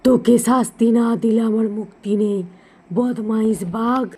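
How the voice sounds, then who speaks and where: A woman's voice: on the high side, steady tone, measured pace, mellow, husky. A woman voicing a vengeful male ghost in a cartoon story, speaking in an accusing, menacing way.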